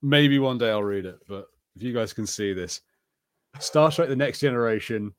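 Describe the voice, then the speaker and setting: A man with an average-pitched voice chats as a podcast co-host. His delivery is casual and talkative, with a readiness to laugh. The sound is dry, with hardly any room on it. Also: moderate pace, no room sound. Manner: chatty, laughs often. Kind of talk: casual watch-party chat.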